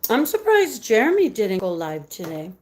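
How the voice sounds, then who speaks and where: A woman with a raspy voice chatting casually on a live stream, greeting viewers by name, giggling often and slipping Spanish words into her talk. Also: mid-range voice, wildly swooping pitch, normal speed. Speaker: livestream host reading viewer comments.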